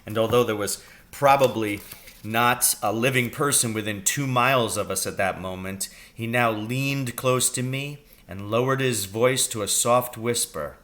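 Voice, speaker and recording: A man narrating a children's story aloud in an expressive read-aloud style. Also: mid-range voice, measured pace. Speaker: audiobook reader.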